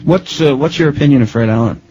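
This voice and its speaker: A man's voice: mid-range voice, quick pace, a little mellow, slight background noise, rough recording. A man conducting a conversational radio interview, putting his questions in a wordy, hesitant way.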